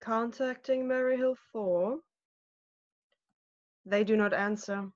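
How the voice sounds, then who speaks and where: A woman playing an unhurried, formal switchboard operator in a drama. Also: on the high side. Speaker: actress. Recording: dull, muffled call audio.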